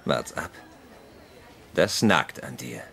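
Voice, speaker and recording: An actor playing a terse, gruff, taunting man in a German-dubbed TV comedy-drama. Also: a bass voice, expressive, slow, fairly bright tone, heavily acted.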